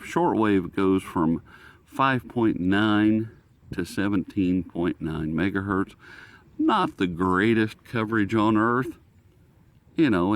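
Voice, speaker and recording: Male, American accent, low voice; informal hobby reviewer, hesitant and off the cuff, recorded outdoors. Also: no echo. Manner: hesitant, halting, thinking aloud. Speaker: host of a radio review video. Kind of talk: casual, unscripted product review.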